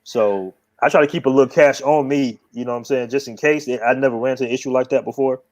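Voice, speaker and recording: A man's voice: medium pitch, quick pace, a little mellow, rough recording. A man hosting a casual interview show, easygoing and calling his guest brother. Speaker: show host.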